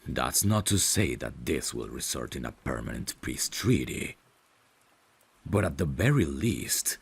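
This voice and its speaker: Male actor as courtly vampire butler, extremely low voice, slow measured delivery, formal and caring, ASMR roleplay. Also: very animated, creaky, breathy edge, full character voice. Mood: grave, regretful. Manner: formal, deferential, measured, gently solicitous. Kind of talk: ASMR audio drama roleplay.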